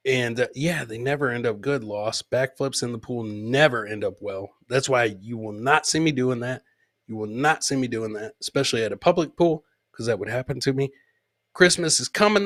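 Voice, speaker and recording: A man's voice: mid-range voice, fairly bright tone. A man hosting a live comedy podcast, casually commenting on fail clips and repeating his own lines as he chats with viewers.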